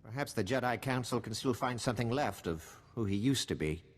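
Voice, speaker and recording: An actor playing a solemn, idealistic male freedom fighter in a video game, with a husky, earnest voice. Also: medium pitch, expressive, fairly quick, in a large hall, lightly acted. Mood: solemn resolve.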